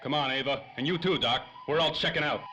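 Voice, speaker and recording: A man acting a hard-boiled 1930s radio hero with a bright, forceful edge, slightly high for a man. He is snappy, impatient and slangy, with faint noise behind him. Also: quick pace. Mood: urgent. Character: hard-boiled, impatient, wisecracking male 1930s detective type. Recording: faint noise, light ambience.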